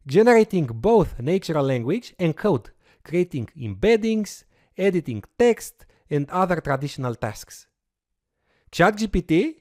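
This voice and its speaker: An instructor explains step by step, pitch rising and falling a lot. The voice is dry and close, with no room sound. Now and then there is a short "uh" while the instructor works through each step. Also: a little mellow, no echo. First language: Romanian. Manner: explanatory, walks through steps with occasional fillers. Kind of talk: recorded course lecture with screen demo.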